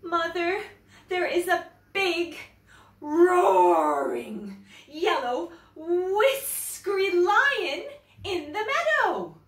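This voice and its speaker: A woman's voice: extremely high pitch, sing-song, very slow, echoey room, far from the mic, voice pushed up, exaggerated voice. A woman voicing a scared, insistent little boy in a storybook, exclaiming and drawing out words.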